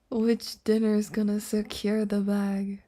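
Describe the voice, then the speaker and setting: A woman live streamer chatting casually and playfully to viewers in a soft, breathy voice that often drops into a whisper. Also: mid-range voice. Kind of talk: sleepy casual livestream chat to viewers.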